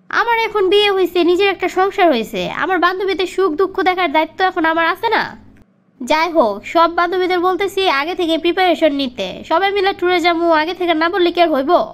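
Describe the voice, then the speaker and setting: A woman's voice: very high voice, fairly bright tone. A woman voicing a bossy, argumentative young wife in a comic cartoon, with a Bangladeshi accent and colloquial scolding delivery. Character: bossy, demanding young wife devoted to her girlfriends.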